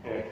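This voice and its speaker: Man speaking in an echoey room. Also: very noisy. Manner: conversational, self-deprecating humor, frequent restarts. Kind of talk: live talk with questions. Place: small meeting room, indoors. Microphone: far camera on table. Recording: echoey room, distant camera mic.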